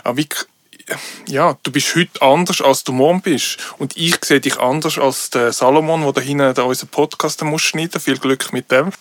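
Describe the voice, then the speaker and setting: A man from Switzerland with a Swiss German accent, co-hosting a podcast in a relaxed, reflective way and chuckling often. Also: fairly high pitch, moderate pace, no echo. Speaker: podcast co-host. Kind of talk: casual conversation.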